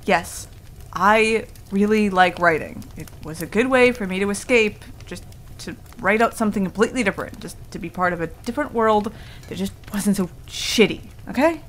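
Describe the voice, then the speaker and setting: A woman's voice: medium pitch, moderate pace, lightly acted. A woman playing a prickly, easily flustered tsundere werewolf in a casual ASMR roleplay, with a defensive, stammering manner. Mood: reluctant, vulnerable. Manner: casual, defensive, stammering when embarrassed, swears. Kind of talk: intimate ASMR roleplay acting. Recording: clearly audible background noise.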